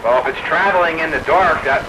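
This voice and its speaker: A man's voice: fairly high pitch, fairly quick. A man chatting casually with other operators over a two-way radio. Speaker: radio net regular. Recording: noisy two-way radio with heavy static. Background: loud radio noise.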